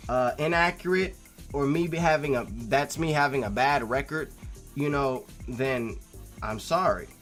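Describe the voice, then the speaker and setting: A man hosting a casual, opinionated video reply, speaking emphatically and repeating phrases for stress, moving between loud insistence and quieter asides. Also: mid-range voice. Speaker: video host replying to a viewer comment. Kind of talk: casual opinionated commentary to camera.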